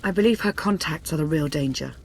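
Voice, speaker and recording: An actress playing a cool, serious operative in a video game, businesslike and wary. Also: female voice, sits low, a little fast, a little hiss or hum.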